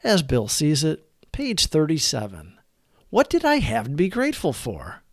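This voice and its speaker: A man narrates scripted devotional readings in an earnest, deliberate way. His voice sits a little higher than average and moves widely up and down in pitch. The sound is very dry, with no room around it. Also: wildly swooping pitch, dry recording. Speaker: narrator of recovery meditation readings. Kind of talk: scripted devotional read-aloud.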